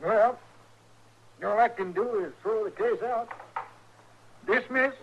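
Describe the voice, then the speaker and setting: An actor playing a cranky, exasperated old judge on the frontier in a 1950s radio western, folksy and blustering. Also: male voice, sits high, takes it slowly, slight background noise. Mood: resigned. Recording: dull vintage radio drama recording.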